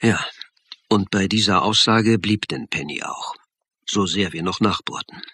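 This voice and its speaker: An actor playing a calm, dry police lecturer who narrates and talks in a radio crime drama. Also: male voice, on the low side, unhurried, slightly rough, no room sound, heavily acted. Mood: matter-of-fact. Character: calm male police academy lecturer narrating a murder case. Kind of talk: radio play narration and dialogue.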